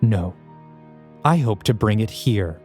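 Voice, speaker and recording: Low-voiced ancient mummy villain, acted by a man. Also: lively intonation, slow pace, a little mellow, faint music behind. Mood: coldly grandiose. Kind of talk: audio drama acting.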